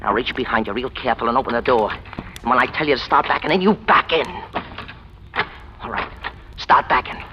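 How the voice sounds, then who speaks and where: A man's voice: medium pitch, fairly quick, pauses often, close to an everyday voice. A man playing a menacing, gloating escaped convict, sneering mock-sweet threats in a 1940s radio crime drama. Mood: gloating menace. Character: menacing, gloating male escaped-convict gangster bent on revenge. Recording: echoey, muffled, noisy old radio recording.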